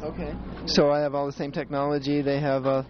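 Quick-talking man hosting a casual interview. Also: fairly high pitch, little pitch movement, muffled recording, a crowd in the background. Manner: chatty, repeats answers back. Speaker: host and dentist.

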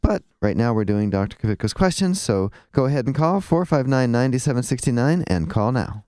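A man's voice: mid-range voice, expressive, normal speed, dark tone, slight background noise. A man hosting a radio talk show, upbeat, asking short follow-up questions.

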